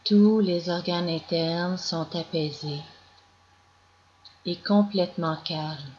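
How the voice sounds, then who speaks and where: A woman guiding a yoga nidra meditation as scripted narration, in calm, measured instructions with long pauses. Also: on the low side. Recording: dull lo-fi recording with clear background noise.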